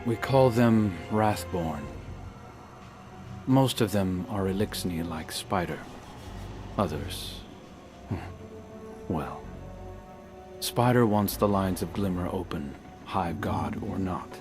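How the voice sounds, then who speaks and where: A man voicing a brooding, earnest hunter in a video game, speaking in a measured, subdued, wry way. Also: low-pitched, over music. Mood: grim, wry. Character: brooding, earnest male hunter with lost memory. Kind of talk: video game cutscene acting.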